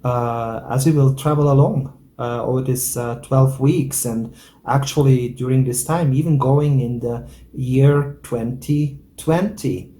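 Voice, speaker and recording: A man from Switzerland introducing himself and his work as a spiritual teacher, pausing often with "uh". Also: medium pitch, steady tone, warm tone. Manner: slow, many hesitant "uh" fillers. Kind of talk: calm introduction.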